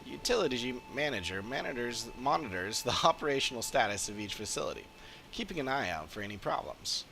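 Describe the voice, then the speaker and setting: A man's voice: medium pitch, big pitch swings, brisk, creaky, bright, forceful tone, a little hiss or hum, exaggerated voice. A man voicing a cheerful young apprentice engineer from a video game, chatty and explanatory. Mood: upbeat, explanatory. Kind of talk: game dialogue read aloud in character.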